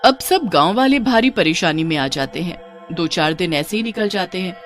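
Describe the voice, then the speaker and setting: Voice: mid-range voice, animated, moderate pace, fairly bright tone. A storyteller giving scripted narration of a cartoon horror tale. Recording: music behind the narration.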